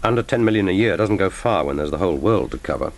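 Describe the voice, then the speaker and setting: British secret agent, deep voice, radio play, cool, dry, clipped, reserved courtesy. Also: male voice, animated, quick pace, a little hiss or hum. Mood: dry, guarded. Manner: dry, polite, businesslike. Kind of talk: radio drama acting.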